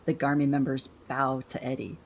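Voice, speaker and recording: A woman's voice: extremely low pitch, flat delivery, moderate pace. A woman reading scripted narration for a radio play. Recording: music behind the voice.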